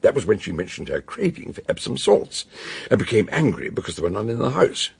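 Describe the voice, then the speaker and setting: An actor playing an eccentric, blunt, aging detective in a radio drama, with a gravelly, husky British voice and theatrical, probing manner. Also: male voice, lowish voice, sing-song, a little mellow, full character voice. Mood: grave, insistent. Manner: theatrical exclamations, deliberate questioning.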